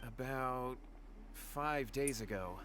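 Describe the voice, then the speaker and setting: A man's voice: mid-range voice, takes it slowly, background noise, natural voice. A man voicing an earnest, anxious young martial artist in an anime game, deferential and hesitant. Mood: worried. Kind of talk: English anime game voice acting.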